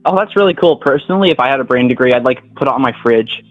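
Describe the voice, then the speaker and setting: A man calling into a livestream, relaxed and easygoing, and a bit giggly. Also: mid-range voice, very fast, soft background music. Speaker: caller. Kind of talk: casual call-in chat. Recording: dull, dry call audio.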